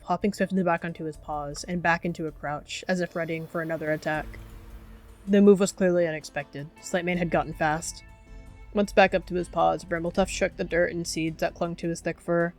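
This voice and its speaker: A woman's voice: fairly low pitch, fairly even intonation, brisk, soft background music. A woman narrating characters' actions in a descriptive roleplay game.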